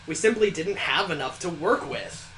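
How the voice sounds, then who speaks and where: A man's voice: a little high, animated, moderate pace, sharp tone, slight background noise, low-quality recording. A man reading a visual novel aloud as a let's player, breaking in with sarcastic, incredulous asides. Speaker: video game let's player.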